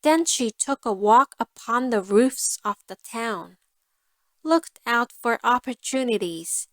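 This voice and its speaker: Woman narrating a fairy tale, really slow and careful, higher voice, dry recording. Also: fairly bright tone, no echo. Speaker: storyteller. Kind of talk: scripted fairy tale narration for language learners.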